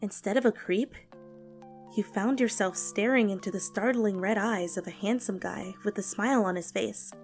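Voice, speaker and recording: A woman's voice: mid-range voice, moderate pace. A woman narrating fan fiction as a scripted dramatic reading. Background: music.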